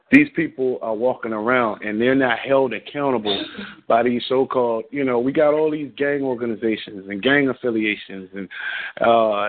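A man speaks over a thin, tinny phone line, his voice at an ordinary middle pitch. He talks bluntly and with passion, rambling through long runs of thought. He is a caller on a talk radio show. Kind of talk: call-in radio commentary.

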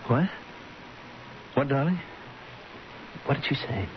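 A man's voice: medium pitch, slow pace, close to an everyday voice. An American man acting in a 1970s radio drama as an anxious, devoted husband and father, earnest and worried. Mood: bewildered. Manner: earnest, worried, urgent questioning. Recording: muffled, noisy old radio broadcast.

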